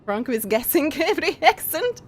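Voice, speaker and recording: A young woman streaming a game and chatting casually with her viewers, giggling often and pausing to restart her sentences. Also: high-pitched, sing-song, a little hiss or hum. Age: young adult. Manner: giggly, halting with many pauses and restarts.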